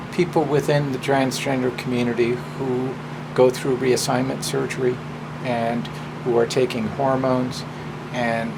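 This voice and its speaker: A man speaking informally and deliberately as a presenter, drawing out words for emphasis. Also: mid-range voice. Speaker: presenter and drag performer. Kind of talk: informal personal talk and story hour introduction. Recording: clear steady hum of background noise.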